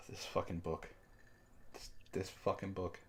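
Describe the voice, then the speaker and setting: A man's voice: sits low, little pitch movement, slow, noisy background. A man reading a story aloud and bantering casually, with an exasperated, profane, mocking manner. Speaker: book club host and reader.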